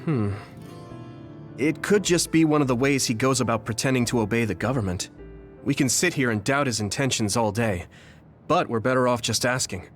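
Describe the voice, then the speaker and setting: A man's voice: medium pitch, moderate pace, faint music behind, natural voice. An actor playing an earnest, thoughtful young male platoon leader in a video game dub.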